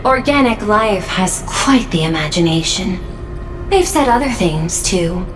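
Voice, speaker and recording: A woman's voice: mid-range voice, lively intonation, a little slow, music in the background, lightly acted, poor audio. A woman playing an aloof, analytical adviser in a video game story, formal and matter-of-fact in her delivery. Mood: detached, knowing.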